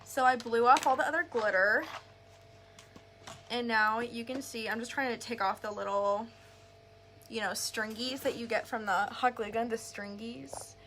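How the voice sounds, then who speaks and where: Woman, slightly high voice, casual craft tutorial host, chatty, step-by-step explaining, light background noise. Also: steady tone, normal speed, bright, forceful tone. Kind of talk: casual live craft demonstration. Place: craft store.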